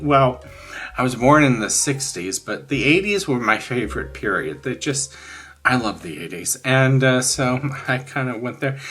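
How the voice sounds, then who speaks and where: A man's voice: mid-range voice, bright, forceful tone, husky. A man in his sixties hosting a craft channel, chatting casually and candidly in a rambling, emphatic way. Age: 60s. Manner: rambling, candid, emphatic about likes and dislikes. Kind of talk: casual chat showing finished coloring pages.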